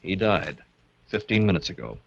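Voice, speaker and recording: An actor playing a haunted, brooding young heir, narrating his own tale dramatically and haltingly in 1940s radio style. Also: low voice, big pitch swings, gravelly, husky, heavily acted. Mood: subdued. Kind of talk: 1940s radio acting, first-person narration. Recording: muffled vintage radio broadcast over loud music.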